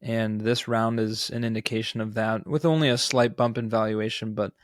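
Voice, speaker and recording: A man co-hosting a podcast, discussing startup news in a measured, analytical, semi-scripted manner. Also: lowish voice, fairly even intonation, no echo, clean studio sound. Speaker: podcast co-host.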